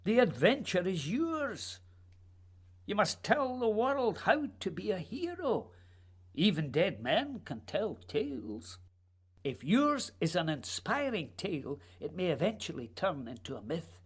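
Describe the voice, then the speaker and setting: A woman's voice: fairly low pitch, big pitch swings, a little slow, slightly rough, full character voice. A woman playing a dreamy, evasive male writer with earnest, poetic delivery in a radio play. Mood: urging, inspiring.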